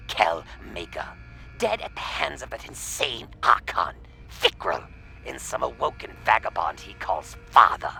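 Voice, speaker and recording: A greedy alien bounty hunter for a radio play. He is sharp and forceful, with heavy gravel in the throat. His sneering, taunting lines swing wildly in pitch over faint music. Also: full character voice. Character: gluttonous, proud, mocking male alien bounty hunter. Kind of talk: radio play character acting.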